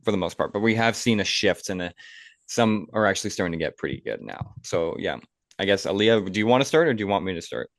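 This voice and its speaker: A man's voice: low-pitched, lively intonation, quick pace, dry recording. A man co-hosting a podcast in casual chat, talking in a rambling way with emphatic opinions. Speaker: podcast co-host.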